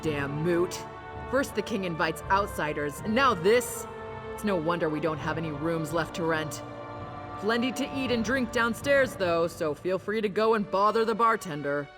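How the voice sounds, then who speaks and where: Grumbling innkeeper, highish, echoing. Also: male voice, expressive, moderate pace, over music. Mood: grumpy. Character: grumbling, put-upon male fantasy innkeeper. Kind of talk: video game character dialogue.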